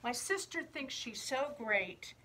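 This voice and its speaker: A woman's voice: fairly high pitch, animated, slow, bright, forceful tone, heavily acted. A woman reading a picture book as a small, envious younger sibling, grumbling and petulant. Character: small, envious younger sibling griping about an older sister.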